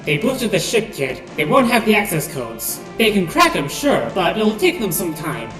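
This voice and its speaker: A woman's voice: mid-range voice, sing-song, normal speed, natural voice. A woman voicing a sarcastic, grumbling robot crewman in an amateur comic dub. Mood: brisk, matter-of-fact. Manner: sardonic, grumbling. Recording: roomy, with loud music bed.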